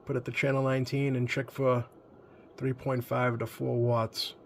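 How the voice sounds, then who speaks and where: A man giving a casual, unscripted hands-on commentary as a hobbyist repairer, easygoing and halting as he thinks aloud. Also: medium pitch, little pitch movement, gravelly, noisy background. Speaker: radio repair hobbyist hosting a video.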